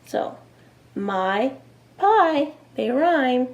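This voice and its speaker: A woman in her thirties reading a picture book aloud, expressively and deliberately, with explaining asides to young children. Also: high-pitched, very animated, vocal fry, dull audio. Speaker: children's storyteller. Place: small indoor room, seated before a cloth backdrop.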